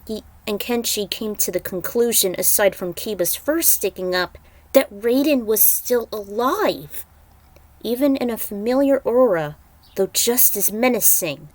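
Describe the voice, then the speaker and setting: A woman narrates an action-filled fantasy tale in a dramatic storytelling style. Her voice sits at a medium pitch for a woman. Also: expressive, unhurried, a little mellow, no echo. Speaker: narrator reading fan fiction.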